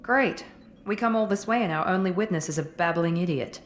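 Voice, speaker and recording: An actress playing a sardonic human operative with a dry manner. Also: female voice, fairly low pitch, brisk, slight background noise, natural voice.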